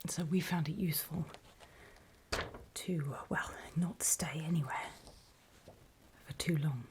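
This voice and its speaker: A woman playing a wary, battle-worn survivor of a zombie apocalypse, speaking in a breathy, deliberate whisper in an ASMR horror roleplay. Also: deep voice, a little hiss or hum. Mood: wary, instructive. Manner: hushed, deliberate, halting storytelling with pauses.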